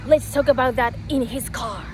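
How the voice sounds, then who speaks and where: A woman's voice: high voice, normal speed, heavy background noise. A woman with a Japanese accent hosting a casual vlog interview, reacting with short questions in non-native English. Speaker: host, interviewer.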